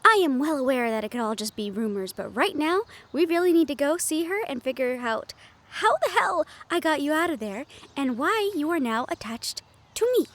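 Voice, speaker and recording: A woman's voice: high voice, animated, normal speed, fairly bright tone. An actress playing an anxious, sweary young woman haunted by a ghost, exasperated and conversational in an audio roleplay. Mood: exasperated, pleading.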